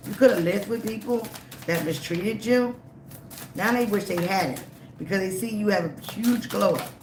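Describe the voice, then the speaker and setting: A tarot reader giving a live reading, emphatic and repetitive, slightly raspy from a cold. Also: medium pitch, roomy sound, background noise. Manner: emphatic, repeats phrases, frequent "okay?" and "all right?".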